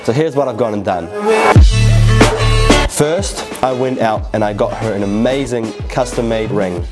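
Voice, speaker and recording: A young man, a fitness YouTuber telling an excited story to camera, recorded indoors on a lapel mic. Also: lowish voice, measured pace. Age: young adult. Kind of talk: upbeat vlog narration to camera. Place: indoor living room, seated. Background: background music.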